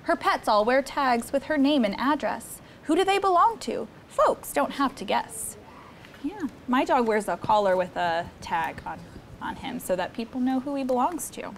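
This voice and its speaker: A young woman hosting a children's story time, reading aloud in an expressive, sing-song way and chatting with the kids. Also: high-pitched, moderate pace, slight background noise. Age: young adult. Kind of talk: animated read-aloud to children, with chat. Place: indoor studio set with children seated on a rug.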